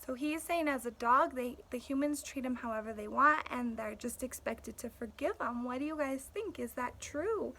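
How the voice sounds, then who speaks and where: Bright, high-voiced woman teacher. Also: animated, moderate pace, few pauses. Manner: explanatory, checks understanding with questions. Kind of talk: children's read-aloud with comments and questions.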